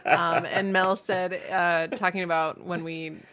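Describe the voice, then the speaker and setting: A woman's voice: lowish voice, level tone, a little slow, a bit bright. A woman co-hosting a live stream in casual, conversational chat with her partner and viewers. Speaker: co-host of a fan live stream.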